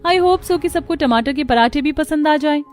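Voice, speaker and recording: A woman's voice: sits high, normal speed, lightly acted. A woman playing a cheerful, eager young daughter-in-law in an acted family story.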